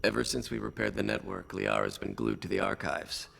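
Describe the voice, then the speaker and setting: An actor playing a weary, dry alien ex-information trader in a video game, with a gravelly rasp and clipped delivery. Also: male voice, sits low, sing-song, fairly quick, a bit bright, slight background noise, exaggerated voice. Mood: subdued, fond. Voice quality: gravelly, rasping.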